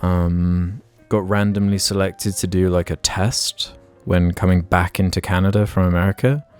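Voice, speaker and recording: A man's voice: low voice, fairly even intonation, normal speed, darkish tone, clean tone, faint music behind. A young man co-hosting a podcast, rambling casually with lots of filler words and the odd swear. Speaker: podcast co-host.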